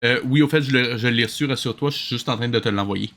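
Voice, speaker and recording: A man's voice: mid-range voice, quick pace, a bit bright. A man with a Quebec French accent giving casual, sarcastic commentary in an exasperated way. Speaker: host of a reaction show. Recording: faint background noise.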